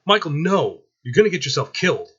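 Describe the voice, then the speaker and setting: Man putting on an anxious, scolding mother, raised husky voice. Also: fairly high pitch, big pitch swings, fairly quick, a bit bright, dull audio, voice pushed up, full character voice. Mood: alarmed. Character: anxious, protective mother of a teenage son.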